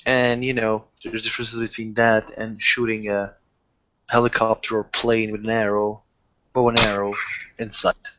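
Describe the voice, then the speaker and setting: Halting man on a muffled recording. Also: on the low side, flat delivery, a bit bright, a little hiss or hum. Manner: halting, repeats words. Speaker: tabletop roleplaying player. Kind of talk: casual group chat.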